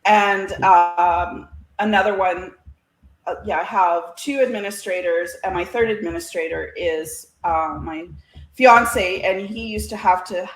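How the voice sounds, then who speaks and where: A Canadian woman with a slightly low voice talks in a casual, chatty way, as a guest telling anecdotes would. The sound is roomy and echoey. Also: a little slow. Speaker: guest, CB radio community organiser. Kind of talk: casual chat.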